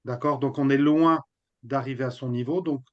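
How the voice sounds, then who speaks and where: A man from Nice presenting to a group on a video call, relaxed and chatty with many fillers. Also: medium pitch, moderate pace. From Nice, France. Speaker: team strategist presenting. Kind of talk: casual presentation. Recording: dull lo-fi video call audio.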